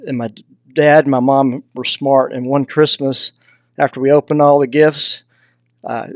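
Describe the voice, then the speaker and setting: A man's voice: medium pitch, flat delivery, moderate pace, dry recording. Folksy Southern US man, close dry sound. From Texas, US. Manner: folksy, anecdotal. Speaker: podcast guest, hunting mentor and foundation founder. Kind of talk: casual interview storytelling.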